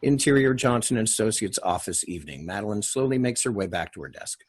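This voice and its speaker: A man's voice: lowish voice, expressive, quick pace, slightly rough. A man narrating screen directions as a reader at a TV drama table read.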